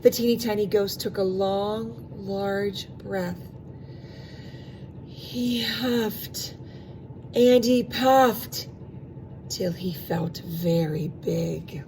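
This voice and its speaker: A woman reading a storybook aloud to young children in an expressive, animated way, stretching out sound words and adding asides to the listeners. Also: mid-range voice, drawn-out words, long pauses, noisy background.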